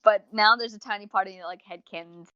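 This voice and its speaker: A woman's voice: mid-range voice, steady tone, quick pace, bright, forceful tone, no echo, lo-fi sound. A woman co-hosting a casual fan discussion, talkative and rambling, with slangy phrasing and acted-out asides. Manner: rambling, talkative, slangy, acts out imagined remarks. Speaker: podcast co-host reviewing audio dramas.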